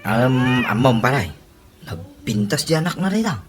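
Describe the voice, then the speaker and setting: A man's voice: medium pitch, big pitch swings, unhurried, slight background noise. An actor with an Ilocano accent playing a chummy, teasing male drinking buddy in a comedy radio drama. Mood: bantering.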